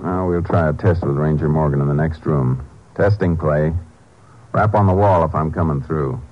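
A man playing a calm, courteous Texas Ranger in charge, matter-of-fact and authoritative, in a 1950s radio drama. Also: a bass voice, normal speed. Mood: busy, focused. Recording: dull old radio recording, faint hiss.

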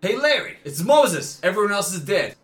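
A man's voice: sits high, sing-song, moderate pace, very noisy. A man playing a brash, blunt outlaw in a comic audio play.

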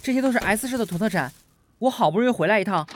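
A man's voice: high-pitched, animated, rapid-fire. A man in an audio drama playing a bubbly, eager, affectionate younger friend with an exuberant, chatty manner. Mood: cheerful. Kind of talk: Chinese audio drama acting.

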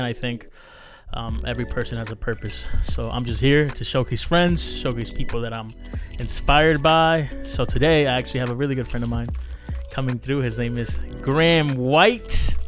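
A man from California hosting a podcast in casual chat, loose and talkative, with lots of fillers and restarts. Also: mid-range voice. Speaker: podcast host.

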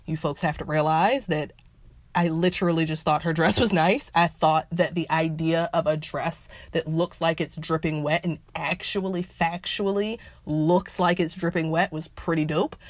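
A woman's voice: sits low, dry recording. A woman co-hosting a casual chat show, giving frank, opinionated takes on red-carpet fashion in a laid-back way. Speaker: podcast co-host.

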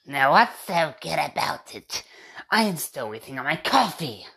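A woman's voice: sits low, very animated, measured pace, vocal fry, fairly bright tone, slightly rough, exaggerated voice. A woman voicing a grumpy, impatient elderly sister who snaps at her siblings and calls them names in an amateur radio drama. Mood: cranky. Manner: snappish, bossy, name-calling. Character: grumpy eighty-something sister, rude and impatient.

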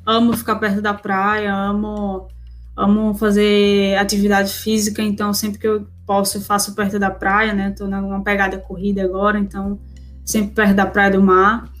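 A woman's voice: medium pitch, monotone, normal speed, a bit bright, faint music behind. A woman with a Northeastern Brazilian accent chatting casually as an interview guest, informal and full of tag questions. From Recife, northeastern Brazil. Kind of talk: casual chat.

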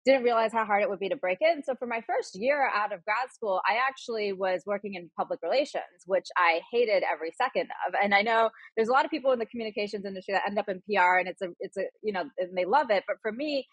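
Fast-talking woman telling her story. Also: mid-range voice, no echo, poor audio. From United States. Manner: quick, chatty storytelling with frequent "like". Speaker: podcast guest, journalist. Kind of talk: casual interview conversation.

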